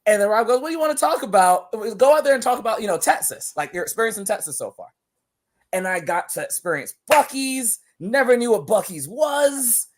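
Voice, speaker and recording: A man's voice: very high voice, brisk. A man from Barbados, a wrestler guest on a podcast, talking casually and telling stories with lots of laughter and repeated words. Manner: animated storytelling, laughing often, repeats words.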